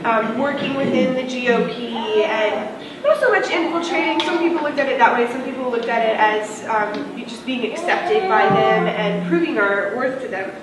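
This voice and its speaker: A woman's voice: on the high side, animated, moderate pace, a loud crowd. A woman from Missouri giving a public talk to a live audience as an activist, speaking loosely with fillers, her nose stuffy and throat scratchy. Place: large echoey room. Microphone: distant microphone. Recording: echoey distant room recording, heavy noise.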